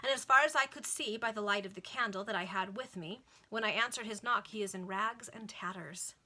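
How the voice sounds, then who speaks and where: A woman reader voicing an excitable, loyal Victorian maidservant with a breathless, emphatic, urgent manner in a storybook reading. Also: mid-range voice, brisk.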